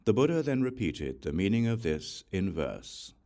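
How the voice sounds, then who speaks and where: A man reading a sacred text as scripted audiobook narration, in a measured, solemn and deliberate way. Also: on the low side, very animated, warm tone. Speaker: audiobook reader.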